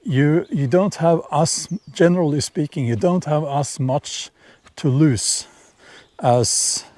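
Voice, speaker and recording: A middle-aged man with a Norwegian accent, talking casually to camera outdoors in a vlog, halting and repeating words as he shares opinions. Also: fairly high pitch, darkish tone, no room sound. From Norway. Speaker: vlogger. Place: outdoors in nature, near horses.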